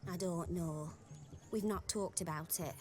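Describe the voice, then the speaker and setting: An actress playing a modest, soft-spoken young village bride. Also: female voice, lowish voice, a little slow, close to an everyday voice. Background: music.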